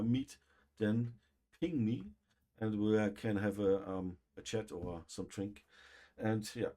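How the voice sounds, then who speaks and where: Halting man with a German accent. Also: lowish voice, dark tone. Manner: halting, many fillers and restarts. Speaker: livestream host. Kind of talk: casual livestream sign-off.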